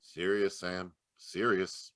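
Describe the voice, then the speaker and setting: An actor playing a grave, gruff, terse police lieutenant in an old-time radio mystery. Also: male voice, deep voice, little pitch movement, slow pace, a bit bright.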